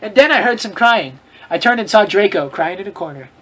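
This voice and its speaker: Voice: medium pitch, animated, brisk, heavy background noise. A co-host reading fan fiction aloud in a mocking, casual way, exclaiming and breaking off to comment on the text.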